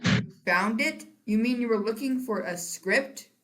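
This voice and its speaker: A woman's voice: fairly high pitch, unhurried, close to an everyday voice. A teenage girl acting a flustered, placating Hollywood film director in a school stage comedy. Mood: casual. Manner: theatrical, placating.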